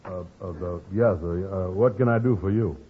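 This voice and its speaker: Man acting dim lovelorn fellow, deep voice, muddled speech, old radio comedy, narrow phone-like sound. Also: moderate pace, faint music behind, close to an everyday voice. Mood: muddled, wary. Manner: dim, hesitant, malapropisms. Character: slow-witted, lovelorn, anxious man, comically muddled talker.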